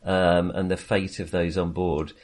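A man co-hosting a podcast, talking casually about films in a hesitant, halting way. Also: deep voice, flat delivery. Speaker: podcast co-host. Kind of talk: casual film discussion.

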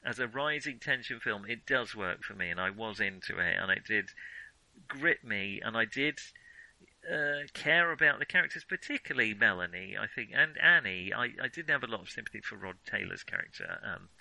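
A man's voice: on the low side, animated, normal speed, vocal fry, bright, forceful tone, no echo, poor audio. A man co-hosting a film podcast, talking casually and digressively with firm opinions.

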